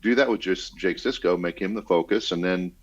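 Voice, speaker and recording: A man's voice: sits low, brisk. A man chatting casually as a panellist on a geek podcast. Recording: dull, muffled lo-fi audio.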